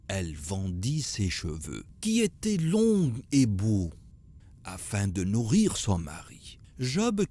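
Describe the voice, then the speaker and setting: An actor playing an expressive, curious storyteller in a children's religious cartoon. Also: male voice, mid-range voice. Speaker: narrator and questioner.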